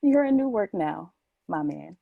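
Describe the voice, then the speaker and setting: A woman's voice: lowish voice, big pitch swings, unhurried, gravelly, no echo, phone-quality sound, full character voice. A woman playing a bold, teasing, insistent city woman in her thirties in an audio play. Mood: accusing, probing.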